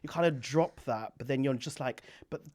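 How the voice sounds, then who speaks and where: A podcast host asking questions and sharing experiences in a relaxed, slightly hesitant way, with fillers like "um" and "I guess". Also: mid-range voice, moderate pace. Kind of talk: casual podcast interview.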